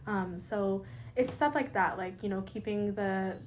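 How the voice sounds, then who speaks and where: A woman's voice: medium pitch, unhurried, slight background noise. A young Canadian woman with Pakistani roots, talking casually and earnestly on a podcast, filling her speech with "like". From Pakistani family, raised in Canada. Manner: lots of "like" fillers, earnest, sympathetic. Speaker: podcast guest. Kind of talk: casual conversation.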